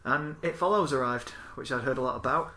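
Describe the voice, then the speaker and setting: A man's voice: medium pitch, a little fast, pushed and bright, a little hiss or hum. A man chatting casually as a co-host, informal and sweary, acting out bits of dialogue as he tells stories. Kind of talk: casual chat with anecdotes.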